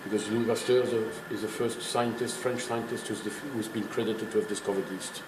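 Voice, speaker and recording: A man's voice: on the low side, steady tone, fairly quick. A man working as a brewery tour guide, explaining things informally in an emphatic, opinionated, didactic way. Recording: echoey room, clear background noise.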